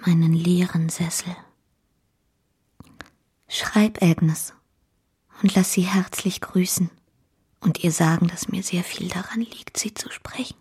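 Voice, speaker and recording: Whispering, breathy, ailing young wife. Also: female voice, on the low side, steady tone, slow pace, long pauses, darkish tone, full character voice. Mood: tender longing. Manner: tender, faint, pleading. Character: gravely ill, childlike, tender young wife. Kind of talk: German radio drama acting.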